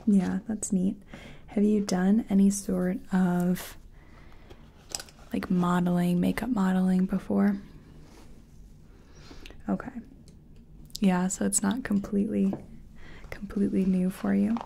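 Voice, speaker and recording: Soft spoken asmr lady, breathy whispers w lots of fry, mellow, chatty makeup artist roleplay. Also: mid-range voice, flat delivery, takes it slowly, pauses often.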